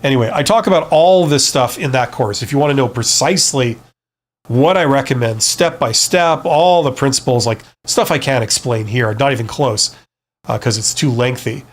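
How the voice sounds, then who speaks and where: A man's voice: medium pitch, moderate pace. A man hosting a casual live stream, giving frank, conversational advice and often checking in with the listener as he goes. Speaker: live stream host, career advisor and former CEO.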